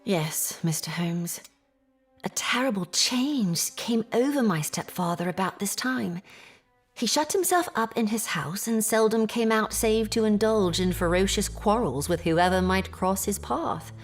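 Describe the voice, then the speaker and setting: A distressed young gentlewoman in a Victorian audio drama. She speaks formally and in measured phrases at a middle pitch, with clear music underneath. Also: animated. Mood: troubled, sighing. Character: distressed Victorian English gentlewoman recounting family misfortune. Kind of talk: period audio drama acting, narrative monologue.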